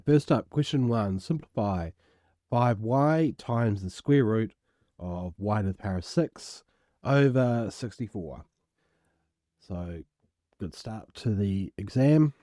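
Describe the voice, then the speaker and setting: A man with a croaky voice, casually working through an algebra exam step by step as a tutor, recorded at home. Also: fairly low pitch, dark tone, no room sound, clean studio sound. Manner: casual, self-deprecating, explains step by step. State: croaky voice from illness. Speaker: maths tutor. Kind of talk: worked exam walkthrough.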